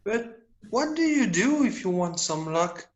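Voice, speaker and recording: A cheeky, wistful young boy, played by a man in a stage musical read-through. The voice is fairly high, with a blunt boyish delivery. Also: very animated, unhurried, muffled recording.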